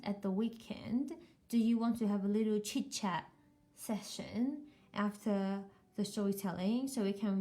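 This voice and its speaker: A woman's voice: mid-range voice, mellow. A woman chatting casually with children as a live storytime host, encouraging and full of questions.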